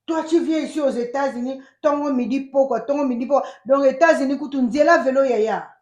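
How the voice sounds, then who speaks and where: A woman with a Congolese accent giving emphatic, animated casual commentary as a channel host, switching between French and Lingala. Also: high voice, a little fast, roomy sound. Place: United States.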